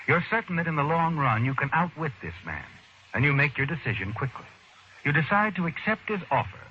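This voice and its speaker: A man's voice: medium pitch, a little hiss or hum, close to an everyday voice. Swooping, suspenseful radio narrator played by a man. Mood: insinuating. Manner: dramatic, insinuating, deliberate phrasing. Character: omniscient male narrator addressing the heroine, insinuating, suspenseful. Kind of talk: 1940s radio drama narration in second person. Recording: dull old radio recording, loud music behind. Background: dramatic music.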